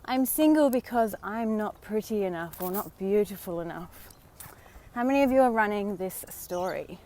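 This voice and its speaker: An Australian woman vlogging live on a walk outdoors, slightly hoarse from a sore throat, talking in a chatty, encouraging way with many fillers. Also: mid-range voice, animated, normal speed, warm tone. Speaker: vlogger and dating coach. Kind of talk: casual live-stream talk.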